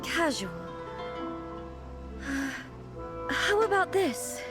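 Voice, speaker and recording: An ageless, sheltered goddess ruler from a video game. Her voice sits fairly high, with formal, measured phrasing and an earnest, contemplative air. Music plays clearly behind her. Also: sing-song, heavily acted. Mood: puzzled, self-conscious. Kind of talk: video game character acting.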